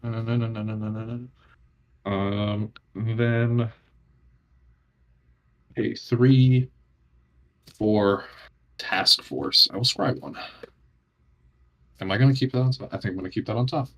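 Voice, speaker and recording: A man from New Jersey chatting casually as he plays a livestreamed card game, talking through his moves with drawn-out pauses. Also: on the low side, steady tone.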